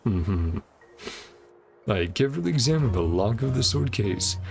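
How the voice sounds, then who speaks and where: A man with a heavily creaky voice streams a game, reading the dialogue aloud. His pitch moves around a lot as he slips between reading and casual muttered commentary. Game music plays behind him. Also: very animated, a little slow, darkish tone. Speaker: streamer playing and reacting to a game.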